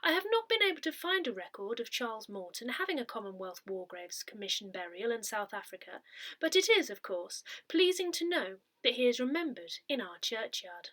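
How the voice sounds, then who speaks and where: A woman narrates from a prepared script in a fairly high voice. Her intonation rises and falls a great deal. She keeps a measured delivery and enunciates with care, like a reader of an audio newsletter. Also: a bit bright. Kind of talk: scripted narration, reading a written article aloud.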